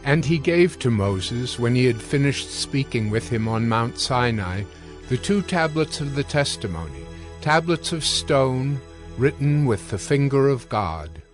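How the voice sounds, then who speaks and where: A man narrating scripture from a script in a measured, storytelling manner. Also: mid-range voice, big pitch swings, faint music behind.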